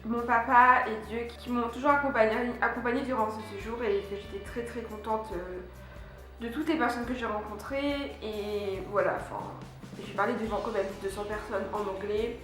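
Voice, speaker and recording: A woman's voice: on the high side, steady tone, normal speed, fairly bright tone. A young woman from France, chatting casually to her viewers about a year abroad, with lots of fillers and restarts. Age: young adult. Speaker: vlogger. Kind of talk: casual vlog recap. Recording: very echoey room with faint music.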